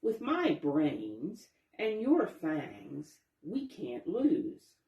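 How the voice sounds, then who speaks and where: A woman's voice: low-pitched, wildly swooping pitch, drawn-out words, highs cut off, heavily acted. A woman reading a storybook as a sly, pushy male fox with a persuasive, salesman-like manner. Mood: coaxing. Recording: roomy, echoey sound.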